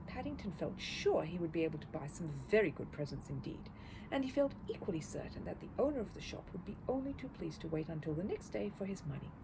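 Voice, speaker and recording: A woman narrates a children's book expressively. Her voice sits a little low but moves around in a lively way, and there is clear noise behind it. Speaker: storybook reader.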